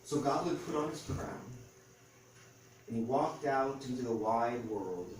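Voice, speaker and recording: A man tells a picture-book story aloud with a lively, wide-ranging delivery. His pitch is average. He is recorded at some distance in an echoey room. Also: a little slow. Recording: echoey room, distant mic, faint noise.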